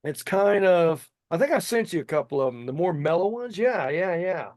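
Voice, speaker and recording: Fifties guy chatting eagerly, kinda high voice, talks in loops. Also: lively intonation, normal speed, darkish tone, dry recording, studio quality. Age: 50s. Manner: enthusiastic, rambling with restarts. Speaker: podcast guest, singer. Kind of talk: casual chat.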